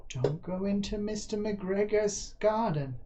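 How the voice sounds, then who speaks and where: A woman's voice: mid-range voice, a little slow, noisy background, natural voice. A woman voicing an old mother rabbit in a children's storybook, fondly but firmly instructing her young ones. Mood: gently warning. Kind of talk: children's storybook character reading.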